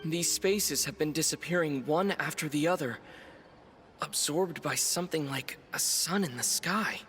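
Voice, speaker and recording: A man playing an earnest, observant young adventurer in a video game, reporting in a measured, serious way. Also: a little high, over music, natural voice. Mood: grave, puzzled. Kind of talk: video game character dialogue.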